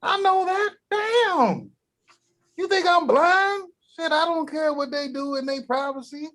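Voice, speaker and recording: A man's voice: extremely high pitch, unhurried. An actor at a table read playing a crude, grumpy old grandfather who blusters and swears. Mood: indignant. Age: older. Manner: profane, blustery, shouting when riled.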